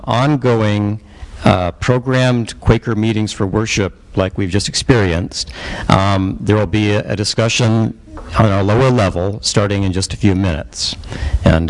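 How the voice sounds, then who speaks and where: A man's voice: lowish voice, low-quality recording. Hesitant man giving church announcements. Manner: hesitant, many fillers. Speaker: meeting announcer.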